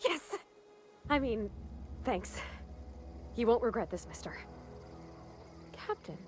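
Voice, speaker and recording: Young lady ship mechanic from a game, folksy n awkward, earnest, kinda halting, music under it. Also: on the high side, very animated, darkish tone. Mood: hopeful, eager. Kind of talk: video game dialogue acting. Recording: music behind the voice.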